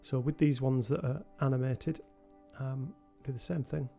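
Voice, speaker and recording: A man with a cold hosting a relaxed software tutorial, talking through each step with frequent ums. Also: mid-range voice, normal speed, gravelly, faint music behind. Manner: relaxed, thinking aloud with many ums. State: has a cold. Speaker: game developer tutorial host. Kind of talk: screen-recorded software tutorial.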